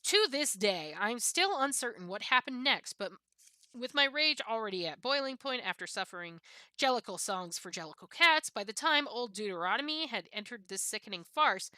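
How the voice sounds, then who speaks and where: A woman reading humorous prose aloud as a streamer, expressive, with occasional stumbles and self-corrections. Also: on the high side, dry recording. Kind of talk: reading aloud from a comic book of essays.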